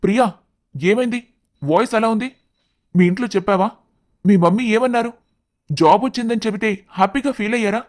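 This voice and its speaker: A man's voice: sits high, fairly quick, pauses often, a little mellow, lightly acted. A man playing an earnest young man in love in a story, speaking reassuringly. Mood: concerned.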